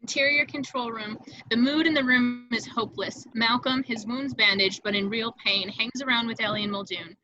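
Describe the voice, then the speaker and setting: A woman's voice: on the high side, steady tone, normal speed, muffled recording. A woman reading scripted stage directions as narrator of a screenplay, descriptive with an occasional stumble.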